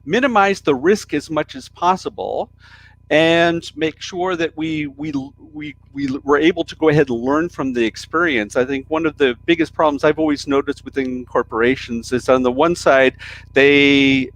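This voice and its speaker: A man's voice: on the high side, moderate pace, fairly bright tone, slight background noise, rough recording. American man thinking aloud, repeating words. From United States. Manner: repeats words while thinking, restarts often. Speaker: co-host of a live stream discussion. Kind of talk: casual discussion about business change.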